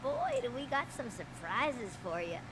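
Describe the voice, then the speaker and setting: An actress voicing a warm-hearted young mutant woman in an animated series, folksy and affectionate. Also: fairly high pitch, a little slow, background noise.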